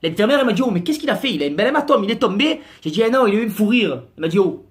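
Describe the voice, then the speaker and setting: A man's voice: high voice, very animated, racing. A man doing a slangy, exasperated comic rant to camera. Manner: slangy, exasperated, mock-outraged. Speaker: comic vlogger.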